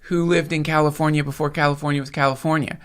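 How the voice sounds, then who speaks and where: A man's voice: fairly high pitch, level tone, fast talker, smooth. A man from the United States, a podcast host, rambling in casual chat with profanity and emphatic points. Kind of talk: casual conversation.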